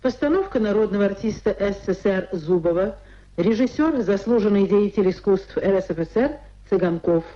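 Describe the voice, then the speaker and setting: Mellow, formal female radio announcer. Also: mid-range voice, expressive. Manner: measured, formal enunciation. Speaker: radio announcer reading cast credits. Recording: muffled old radio broadcast tape.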